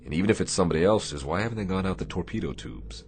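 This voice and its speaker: A man playing a commanding, wry Navy captain in a radio drama, speaking with brisk authority. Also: deep voice, animated, a little mellow, a little hiss or hum. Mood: wry, puzzled. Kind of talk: radio theatre acting.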